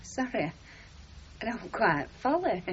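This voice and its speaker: An actress playing a homely, hospitable middle-aged housewife with a Northern English accent in a 1970s radio comedy drama, folksy and plain-spoken. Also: female voice, medium pitch, sing-song, slow. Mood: puzzled, astonished. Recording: dull, hissy old radio broadcast.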